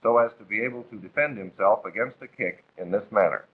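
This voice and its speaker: Narrow phone-like old film soundtrack, American man, husky voice, formal instructional narration, 1940s style. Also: fairly low pitch, fast talker.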